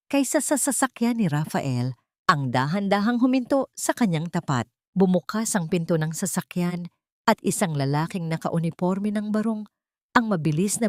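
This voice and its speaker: A woman's voice: lowish voice, a little mellow, no room sound, studio quality. A woman reading a scripted story as an audiobook narrator, in a dramatic storytelling style.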